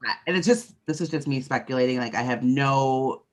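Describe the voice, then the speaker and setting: A woman with a deep voice co-hosting a podcast, chatting frankly and speculating, with the odd swear. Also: a little fast. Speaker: podcast co-host. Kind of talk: casual chat.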